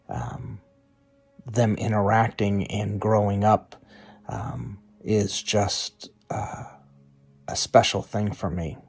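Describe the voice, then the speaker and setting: A middle-aged man giving a personal testimonial as a volunteer, halting and pausing often. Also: fairly low pitch, darkish tone.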